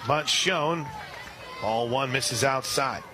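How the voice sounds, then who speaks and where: A man calling a softball game on the radio, describing each pitch and reading sponsor spots between plays. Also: takes it slowly, crowd noise, background noise. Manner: rises sharply and loud on big plays. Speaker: radio play-by-play announcer. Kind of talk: live softball play-by-play.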